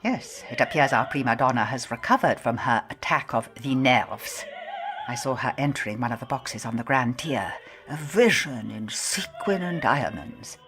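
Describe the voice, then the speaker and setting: A woman's voice: a bass voice, lively intonation, normal speed, music in the background. An actress playing a stern, knowing middle-aged mother at the opera in a radio drama, sardonic and foreboding. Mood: confiding, ominous. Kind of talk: full-cast radio drama acting.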